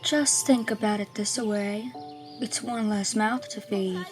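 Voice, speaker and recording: Woman playing a slow, stern schoolteacher. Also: mid-range voice, fairly even intonation, over music, natural voice. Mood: coolly consoling. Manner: measured, didactic. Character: stern, philosophical woman schoolteacher with bleak views. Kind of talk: scripted audio drama.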